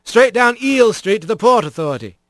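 Storybook mouse barkeep, voiced by a man: matter-of-fact, helpful, terse, high for a male, dry recording. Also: very animated, brisk, a bit bright, dry recording. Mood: hesitant, helpful.

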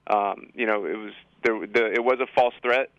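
Low-key man with very even pitch. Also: normal speed, no room sound, highs cut off. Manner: matter-of-fact, repeats and rephrases. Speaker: high school assistant principal, interview guest. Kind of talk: informal explanatory interview answers. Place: radio studio.

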